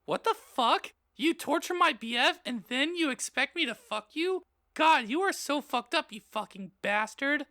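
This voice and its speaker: A man voicing a melodramatic, foul-mouthed teenage girl with shrill, indignant exclamations in a comic audiobook reading. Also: lively intonation, normal speed, bright, forceful tone, dry recording, heavily acted. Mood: outraged, disgusted. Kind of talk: comic dramatic reading of fan fiction.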